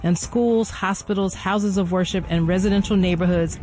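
A male radio announcer giving a measured, urgent scripted news read. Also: sits high, steady tone, darkish tone, faint music behind, low-quality recording.

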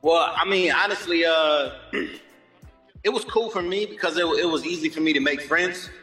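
Man, American accent, higher bright voice, casual slangy interview chat, upbeat, music behind. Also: moderate pace. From born in Germany, moved around the United States. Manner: upbeat, slangy, often says "you know what I'm saying". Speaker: R&B singer, interview guest. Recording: echoey roomy sound with long reverb, music behind.

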